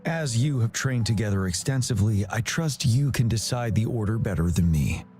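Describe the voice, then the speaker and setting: A man acts out a young military general from a video game. He is steady, courteous and formal, with expressive movement in his pitch and a reassuring, composed manner. Music plays behind him. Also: normal speed, close to an everyday voice.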